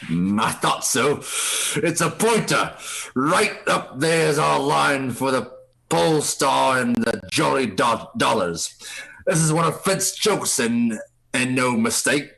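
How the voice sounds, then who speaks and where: An actor playing a wily old pirate ringleader in a live radio play, with gravelly, folksy seafaring speech. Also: male voice, mid-range voice, expressive, slow, a bit bright, heavily acted, rough recording. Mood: musing, commanding.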